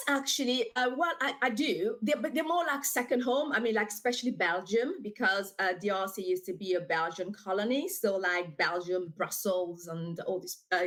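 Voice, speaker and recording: A woman from DR Congo whose first language is French, talking about her writing as a podcast guest. She speaks in an animated, talkative way over a video call. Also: mid-range voice. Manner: animated and talkative, with frequent fillers and laughs. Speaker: author and guest. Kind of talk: casual interview.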